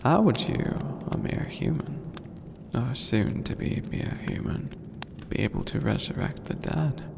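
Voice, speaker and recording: A performer voicing an imperious, scheming skeleton demon lord in a breathy, creaky whisper, speaking slowly and theatrically in an ASMR roleplay. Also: mid-range voice, lively intonation, darkish tone. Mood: commanding, judging. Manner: slow, theatrical, formal, menacing. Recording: noisy, long cavernous reverb.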